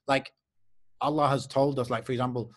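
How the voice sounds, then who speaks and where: A young man hosts a podcast from his living room over a video call. He explains his points at an even pace and keeps checking in with his listener. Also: mid-range voice, fast. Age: young adult. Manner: explains steadily, checks in with "yeah?". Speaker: podcast host. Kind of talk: casual podcast chat.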